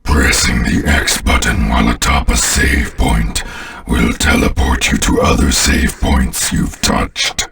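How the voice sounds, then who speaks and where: A sinister alien overlord for a video game, his voice bottomless and full of gravel. He speaks with slow, arrogant menace, as if every listener were a lesser servant. The sound sits back from the microphone. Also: distant mic, background noise, full character voice. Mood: commanding. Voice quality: very deep, gravelly. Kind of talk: video game villain voice lines.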